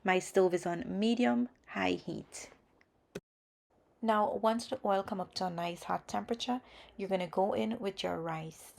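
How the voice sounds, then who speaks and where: A woman's voice: mid-range voice, a little slow, gravelly, darkish tone. A woman hosting a cooking video, explaining each step in an orderly, instructional voice-over. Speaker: cooking channel host.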